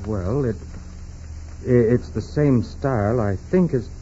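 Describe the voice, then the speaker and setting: An actor playing a flustered, defensive private secretary with hesitant, stammering speech in a 1940s radio crime drama. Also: male voice, medium pitch, slow, dark tone, lightly acted. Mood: nervous, defensive. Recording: muffled, faintly noisy 1940s radio recording.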